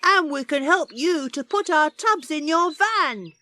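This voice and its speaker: Squeaky, giggly little talking plant. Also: female voice, expressive, measured pace, pushed and bright, exaggerated voice. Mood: giggly, eager. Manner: giggly, sing-song. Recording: slightly noisy old audiobook.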